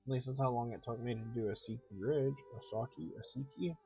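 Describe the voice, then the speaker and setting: Mellow-voiced man streaming a game. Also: medium pitch, a little fast, vocal fry, soft background music. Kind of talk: casual live gameplay commentary.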